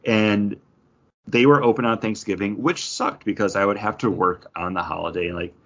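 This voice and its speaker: Low-voiced, muffled American man chatting. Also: fairly even intonation, normal speed, fairly bright tone. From western New York, United States. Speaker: podcast co-host. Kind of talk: casual conversational chat. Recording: dull, lo-fi audio.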